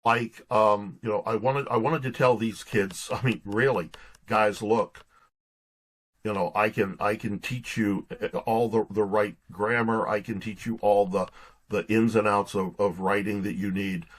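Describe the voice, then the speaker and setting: A man with a fairly low, husky voice answers as an author being interviewed. He talks conversationally, often doubling back and repeating words as he works out his point. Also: steady tone, unhurried. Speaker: guest author.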